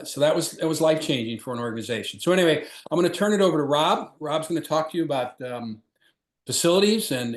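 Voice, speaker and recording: Brief: male host and former pastor introducing a guest on a video call, informal and halting, echoey room. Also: fairly high pitch. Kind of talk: introducing a speaker. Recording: roomy echo.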